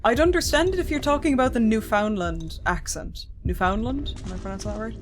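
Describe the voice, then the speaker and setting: A woman's voice: fairly high pitch, animated, fairly quick. Girl gaming on stream, chatty and wry, game music playing behind her. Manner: chatty, wry, frequent self-corrections. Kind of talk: casual gameplay commentary, reading chat.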